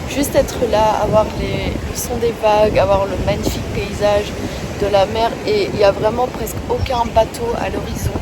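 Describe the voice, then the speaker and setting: A woman chatting casually to her camera as a travel vlogger, relaxed with frequent hesitations. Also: medium pitch, moderate pace. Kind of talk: casual vlog chat to camera. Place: outdoors by a windy seaside and in public places. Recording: loud wind and outdoor background noise.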